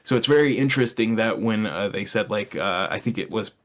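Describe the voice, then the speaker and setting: Lower-voiced man, sardonic and opinionated. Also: a little fast. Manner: sardonic, profane, explanatory. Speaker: podcast co-host. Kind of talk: casual opinionated chat.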